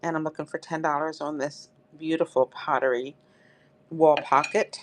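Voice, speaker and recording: A woman's voice: deep voice, steady tone, normal speed, slight background noise. Woman selling live with a dry throat. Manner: chatty, upbeat, addresses viewers by name. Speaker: live-stream seller. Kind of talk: live auction selling chat.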